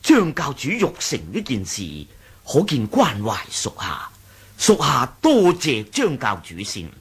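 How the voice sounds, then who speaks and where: Casting: husky, slow-spoken martial sect lieutenant, loyal and formal, Hong Kong Cantonese, swooping 1980s radio drama delivery. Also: male voice, mid-range voice, wildly swooping pitch, fairly bright tone, exaggerated voice. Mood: respectful, hesitant. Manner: formal, respectful.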